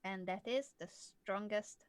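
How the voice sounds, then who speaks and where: A woman's voice: medium pitch, slow, no echo. A young woman from Hungary coaches a chess student on a live stream. Her voice sits mid-range and is recorded dry and close. She explains patiently and laughs easily.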